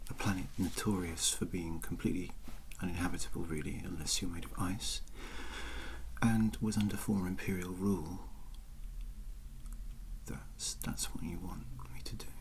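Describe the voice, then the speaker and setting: A woman playing an aloof, sardonic female alien pilot in a soft, breathy whispered ASMR roleplay. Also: rumbling low, steady tone, a little hiss or hum, rough recording. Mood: cool, skeptical. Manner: slow, deliberate, dryly sardonic.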